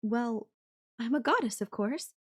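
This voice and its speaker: Woman acting a slow, whimsical, airy goddess. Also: a little high, lively intonation. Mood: playful, friendly. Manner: slow, breathy musing with long dramatic pauses. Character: whimsical, airy, flippant yandere goddess watching Earth die. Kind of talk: ASMR audio roleplay acting.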